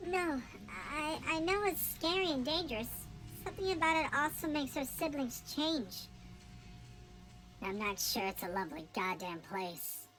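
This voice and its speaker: A woman's voice: sits high, animated, normal speed, fairly bright tone, faint music behind, low-quality recording. A woman streamer reading a visual novel aloud, playful and joking with chat, cursing at times. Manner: playful, swears, jokes with chat.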